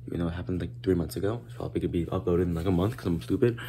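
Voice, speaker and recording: A man's voice: low voice, very fast, warm tone. Teen male vlogger, casual, rambling, phone-recorded. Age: teens. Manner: laid-back, rambling with many fillers. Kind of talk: casual vlog narration.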